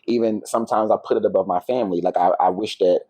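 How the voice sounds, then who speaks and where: A man in his thirties with a fairly low voice, recorded dry and close. He talks casually and openly about himself as an interview guest. His delivery is reflective, dotted with small check-ins to the listener. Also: steady tone, fast, mellow, dry recording. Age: 30s. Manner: reflective, open, peppers talk with "like", "you know" and "right?". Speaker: podcast guest. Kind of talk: candid, casual personal conversation.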